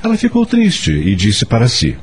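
A man narrating a scripted radio drama in an expressive storytelling manner. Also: mid-range voice, normal speed, slightly rough.

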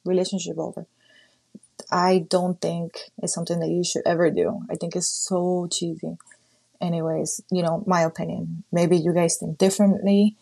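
A woman's voice: fairly low pitch, vocal fry, dark tone. A woman in her thirties hosting a casual gossip podcast, chatty and loose, with lots of "like" fillers and casual swearing. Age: 30s. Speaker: podcast host.

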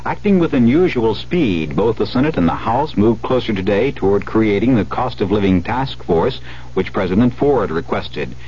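Deep-voiced American newsman on old radio. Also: very animated, normal speed, darkish tone. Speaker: radio news reporter. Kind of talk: scripted news bulletin. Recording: muffled, hissy 1970s radio recording.

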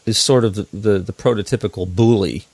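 A man's voice: on the low side, fairly quick, a little mellow, no room sound. An American man in casual conversation, agreeing and thinking aloud reflectively.

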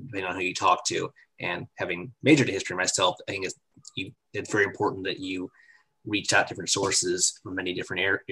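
A man hosting an interview, responding thoughtfully and putting viewer questions to his guest in a measured way. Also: low voice, bright, forceful tone, poor audio. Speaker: podcast host. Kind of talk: interview moderation.

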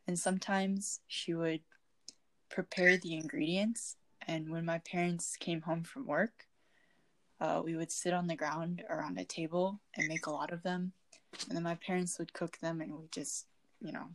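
Teen girl from china and korea, lowish voice, laid back podcast talk. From China and South Korea. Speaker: student podcast co-host. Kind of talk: casual podcast narration.

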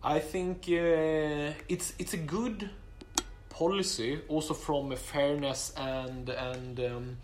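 A man speaking English, which is not his first or second language, answering interview questions about his application in a measured way, with many fillers and restarts. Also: fairly high pitch, fairly even intonation, background noise. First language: not English; English is his third language.

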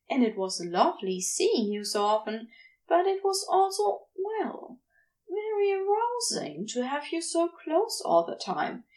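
A woman's voice: very high voice, darkish tone, pitched above the performer's own voice, heavily acted, poor audio. A woman voicing a prim, bashful male angel bookseller in a fan fiction audio drama, wordy and flustered.

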